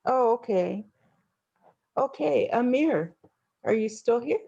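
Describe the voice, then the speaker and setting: A woman moderating a panel, calling on speakers in a polite, unhurried way. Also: fairly high pitch, animated, vocal fry, mellow, husky, highs cut off.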